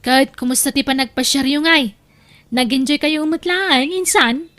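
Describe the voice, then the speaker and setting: A woman's voice: high voice, moderate pace, fairly bright tone. A woman playing a nosy, chatty cousin who gossips and teases in an audio drama. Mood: curious, teasing. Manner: inquisitive, gossipy. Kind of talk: Ilocano audio drama acting.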